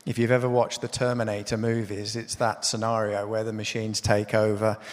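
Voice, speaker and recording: A man moderating a conference panel, relaxed and explanatory as he steers the discussion. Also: fairly low pitch, a little fast. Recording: reverberant conference hall.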